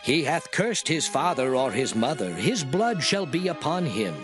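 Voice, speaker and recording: An actor playing God as a solemn lawgiver in a dramatized scripture reading, speaking in a measured, declamatory manner. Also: male voice, a little high, very animated, music in the background. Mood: stern, condemning.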